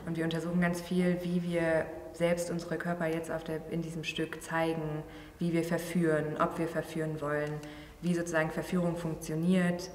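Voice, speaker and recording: A thirty-year-old woman explains her work in a casual interview, halting now and then to search for words. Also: deep voice, completely flat delivery, darkish tone. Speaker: performer and choreographer interviewee. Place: large empty theatre venue. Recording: echoey hall, distant mic, faint noise.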